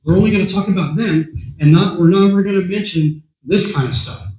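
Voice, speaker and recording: A man with a somewhat high, hoarse voice speaks to a meeting in a conversational way. He repeats words and clears his throat often. The sound comes from an echoey meeting room. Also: brisk, a little mellow. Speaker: guest speaker. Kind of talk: talk to a meeting.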